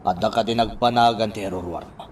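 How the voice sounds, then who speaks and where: A man's voice: fairly low pitch, fairly even intonation, fast talker, darkish tone, a little hiss or hum, close to an everyday voice. An actor playing a menacing boss man in a radio drama, giving orders and threats. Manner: commanding, threatening.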